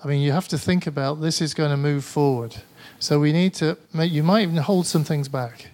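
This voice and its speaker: A man's voice: fairly high pitch, fairly even intonation, brisk, darkish tone. A man with a Mancunian English accent gives a tired, conversational conference talk and answers audience questions, often hesitating and restarting. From Manchester, England. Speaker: conference speaker. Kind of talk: presentation with audience Q&A.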